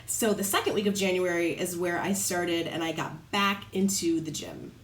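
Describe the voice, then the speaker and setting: A woman's voice: lowish voice, normal speed, echoey room, slight background noise. A woman in her thirties with a Midwestern accent, talking casually to her camera at home while congested from a cold, a bit nasal. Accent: Midwestern American. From Midwestern United States. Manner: chatty, rambling with fillers. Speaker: lifestyle vlogger. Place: home room by a window. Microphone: camera on a small tripod.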